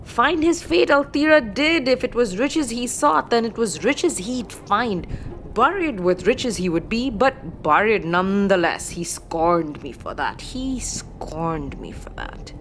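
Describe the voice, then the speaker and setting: A woman's voice: a little high, lively intonation, slow pace, a bit bright, slight background noise, exaggerated voice. A woman playing a brooding, grudging fortune-teller in a fantasy audio drama, speaking in inverted archaic phrases with an ominous manner. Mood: bitter, brooding.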